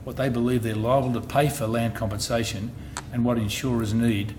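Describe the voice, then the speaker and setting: A middle-aged man with an Australian accent, an insurance executive giving a presentation at a public meeting, measured and often restarting his phrases. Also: mid-range voice, level tone, a little mellow. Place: meeting hall. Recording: noisy meeting room recording.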